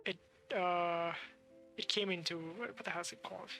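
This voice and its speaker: A woman's voice: lowish voice, steady tone, normal speed, a bit bright, faint music behind. A woman doing casual live gameplay commentary, thinking aloud and muttering off the cuff. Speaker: game streamer.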